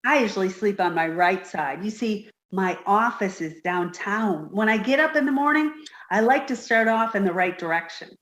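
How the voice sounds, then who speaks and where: A woman's voice: medium pitch, expressive, normal speed, hardly any pauses, a bit bright, echoey room, lightly acted. A woman voicing a childlike, dim, easily frightened young woman in a radio comedy. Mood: naive, earnest. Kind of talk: 1950s radio sitcom reading.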